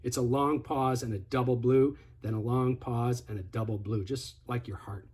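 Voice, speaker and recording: Slightly husky man demonstrating a product. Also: medium pitch, fairly even intonation, normal speed, a little mellow. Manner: step-by-step, instructive, conversational. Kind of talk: casual product tutorial, hands-on demonstration.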